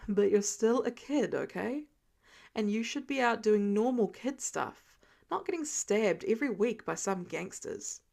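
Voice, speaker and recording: A woman voicing a gentle, worried adult male vigilante and protective mentor in an audio story, soft and low-key. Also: animated, a little slow, gravelly, exaggerated voice. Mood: fond, reassuring.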